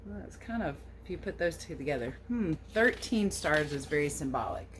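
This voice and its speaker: Female teacher for children's read-aloud: expressive, questioning, very varied pitch on the lower side, slight noise behind. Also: moderate pace. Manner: animated, questioning, sing-song verse delivery. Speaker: teacher reading a picture book to children. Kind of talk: expressive read-aloud with casual asides to young listeners.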